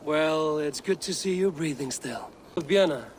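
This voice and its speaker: A man performs a steady Viking warrior hero from a video game. His voice is a little high and composed, with terse phrasing over faint ambience. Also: measured pace, slight background noise, close to an everyday voice, rough recording. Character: steady, loyal male Viking raider hero. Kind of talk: dramatic video game acting.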